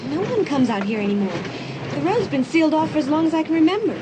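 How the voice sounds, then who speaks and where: Jumpy wary teen girl, high voice, audio drama, loud car noise. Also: sing-song, fast talker. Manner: questioning, anxious. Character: nervous, wary seventeen-year-old girl. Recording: loud vehicle noise behind.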